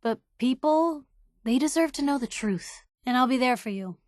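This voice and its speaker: An actress playing a blunt, caring young woman reporter in a video game, speaking in a casual, wry and emotionally expressive way. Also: high voice, moderate pace, no echo, clean studio sound. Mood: warm, wry. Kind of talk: video game character dialogue.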